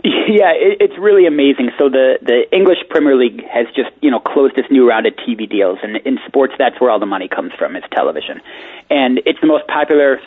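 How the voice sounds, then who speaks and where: Fast-talking man on a phone line. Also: mid-range voice, expressive, husky, no room sound. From United States. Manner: fast, chatty, anecdotal. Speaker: sports media consultant guest. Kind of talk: radio talk interview.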